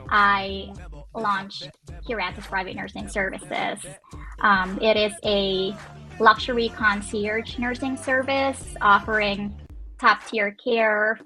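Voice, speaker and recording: Woman, 30s, from the Philippines, interview guest; eager and halting, crisp bright tone. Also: medium pitch, poor audio. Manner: eager, many fillers and restarts. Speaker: guest, nurse and business owner. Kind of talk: casual interview talk.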